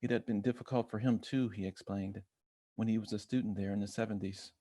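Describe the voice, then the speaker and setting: An American man reading a personal essay aloud in measured literary narration, voicing bits of quoted dialogue as he goes. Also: on the low side, steady tone, dark tone. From Chicago, United States. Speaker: guest essayist reading own work.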